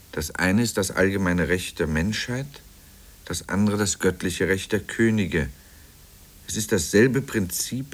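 A man's voice: fairly low pitch, close to an everyday voice. An actor playing a grave statesman, formal and oratorical, in a 1950s radio drama. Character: grave statesman declaiming on right and wrong. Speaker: actor reading a quotation.

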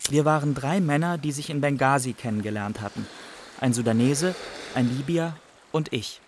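A man's voice: medium pitch, moderate pace, a little hiss or hum, lightly acted. A man playing a young, reflective Iraqi refugee who narrates his wanderings in a radio play. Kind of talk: scripted first-person radio play narration.

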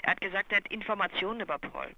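An actress playing a brisk, efficient detective's secretary on the telephone in a German radio crime drama, businesslike and clipped. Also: female voice, mid-range voice, lively intonation, creaky, dull audio, slight background noise.

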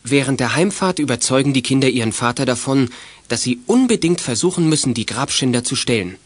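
A man narrating a German children's detective audio drama as a calm, scripted storyteller. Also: medium pitch, fairly quick, no room sound, natural voice. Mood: matter-of-fact.

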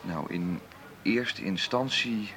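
A man's voice: deep voice, takes it slowly, pushed and bright, a little hiss or hum, exaggerated voice. An actor in a radio play as a stiff, resentful family businessman in need of money, hesitant and defensive. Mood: awkward pleading.

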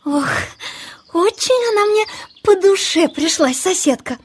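An actress in a children's audio play playing a young, naive, eager cuckoo who exclaims and asks wide-eyed questions. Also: female voice, very high voice, animated, a little slow. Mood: tender, admiring. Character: young naive female cuckoo, eager first-time mother.

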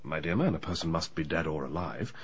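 Very deep, brisk grandee. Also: male voice, lively intonation, husky, heavily acted. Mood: impatient, grave. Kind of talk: radio drama acting.

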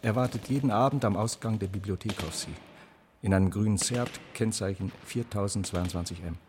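An actor in a German radio play as a private detective, reporting in a brisk, matter-of-fact way. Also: male voice, on the low side, noisy background, lightly acted. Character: adult male private detective reporting to his client.

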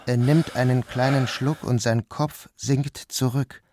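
A man giving calm, measured first-person narration of a literary story. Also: mid-range voice, a little hiss or hum. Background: music.

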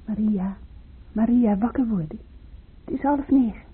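An actress playing a brisk, caring, hurried working woman with a husky voice in a radio drama. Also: on the high side, slight background noise, close to an everyday voice. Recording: muffled phone-like old radio recording.

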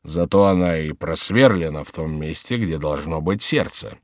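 Man acting a slow, tipsy, gluttonous monk. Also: a bass voice, no room sound, studio quality, pitched below the performer's own voice. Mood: drunken muttering. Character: gluttonous, drunken, boastful male monk, slow and blustering. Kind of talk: dramatised audiobook character acting.

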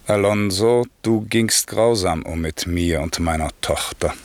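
A wronged sorcerer lord in a classical radio play. His voice is very low and he speaks slowly, with grave, declamatory weight. He sounds stern and commanding, yet bent on mercy. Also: dry recording. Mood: stern, forgiving. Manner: solemn, declamatory, measured. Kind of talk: German classical radio drama verse recitation.

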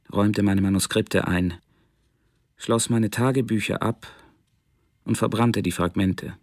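An actor reading as a brooding young man just called up, slow and reflective. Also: lowish voice, creaky, no room sound, clean studio sound. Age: young adult.

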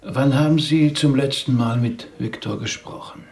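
A calm rabbi quietly investigates in a radio drama. His voice has a gravelly creak, and he puts his questions hesitantly, probing without any show. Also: medium pitch, normal speed. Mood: curious, probing. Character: inquiring rabbi, calm amateur sleuth. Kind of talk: naturalistic radio drama acting.

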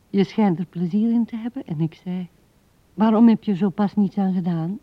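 A woman's voice: fairly low pitch, no room sound, lightly acted. Een vrouw speelt een oude weduwe die mijmerend en haperend tegen haar overleden man praat in een hoorspel. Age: older. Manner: musing, halting, with breathy asides. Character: old widow musing tenderly to her dead husband. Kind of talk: radio drama monologue. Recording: dull, band-limited 1970s radio recording.

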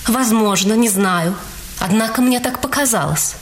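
An actress playing a wary, inwardly resentful witness in a radio detective play, with deliberate, expressive phrasing. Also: female voice, fairly high pitch, a little hiss or hum, natural voice. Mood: insistent. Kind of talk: dramatised radio reading, dialogue mixed with narration.

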